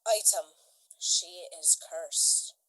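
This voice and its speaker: A woman playing a cheeky young servant reading out in a drawn-out, declamatory way for a Shakespearean radio comedy. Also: lowish voice, pushed and bright, heavily acted, poor audio. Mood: mock-solemn.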